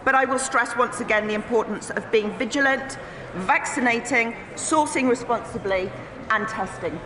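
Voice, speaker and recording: A woman government minister from Wales answers questions in a formal, measured way. Her voice sits a little high and rings in a large echoing chamber. A crowd murmurs underneath. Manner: formal, measured, partly read. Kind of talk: parliamentary answers. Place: parliamentary chamber.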